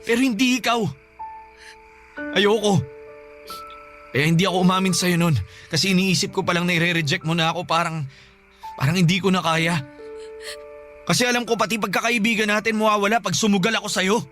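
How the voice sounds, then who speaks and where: Young Filipino man in love, high voice, protective, stubborn, pleading, radio drama, music behind. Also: steady tone, brisk, long pauses, sharp tone. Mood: tender confession. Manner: earnest, pleading. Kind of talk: emotional Tagalog radio drama acting.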